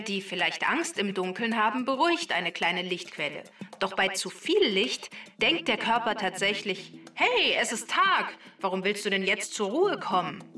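A woman's voice: mid-range voice, pushed and bright. A woman giving scripted explanations for children on a podcast, in a roomy, slightly distant recording.

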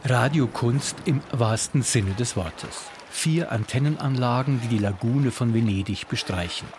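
A man's voice: mid-range voice, measured pace, noisy background. A man reading a scripted radio feature as an arts reporter.

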